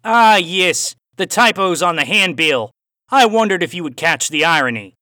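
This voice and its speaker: A man voicing a menacing, philosophical cowboy villain in an audiobook, with an Australian accent touched by cowboy twang and a formal, taunting, deliberate manner. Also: high-pitched, animated, sharp tone, dry recording, studio quality, pitched above the performer's own voice. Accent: Australian with a hint of cowboy twang. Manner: formal, deliberate, sinister rhetorical questioning. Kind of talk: audiobook character voice.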